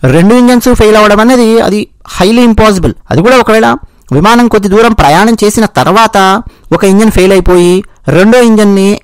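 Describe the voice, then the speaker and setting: A man's voice: high-pitched, clean tone, dry recording, low-quality recording. A man with a Telugu accent giving explanatory news commentary, restating and rephrasing his points as he goes.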